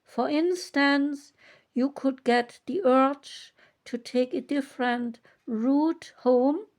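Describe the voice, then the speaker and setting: A woman reading oracle card messages aloud from a guidebook, in a measured manner with long pauses between phrases. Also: sits high.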